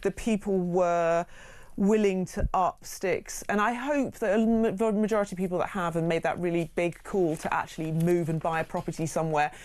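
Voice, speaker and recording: A woman's voice: fairly low pitch, steady tone, normal speed, darkish tone. A woman from Norway, a guest on a morning show, chatting candidly and giving her opinions. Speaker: guest co-presenter. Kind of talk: casual studio chat.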